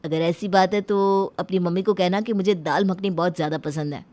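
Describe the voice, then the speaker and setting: A woman's voice: lowish voice, fairly even intonation, brisk, darkish tone, voice pushed down, lightly acted. A woman voicing an easygoing, agreeable young husband in a cartoon family story. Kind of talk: animated family story dialogue.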